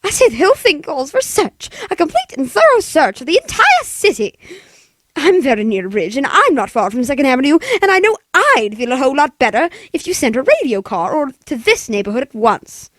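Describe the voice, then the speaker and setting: High-strung, fretful invalid wife. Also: female voice, big pitch swings, brisk, a bit bright, no echo. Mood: agitated, pleading. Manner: fretful, melodramatic, stammering. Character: anxious, demanding, self-pitying bedridden invalid wife. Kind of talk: 1940s radio play acting.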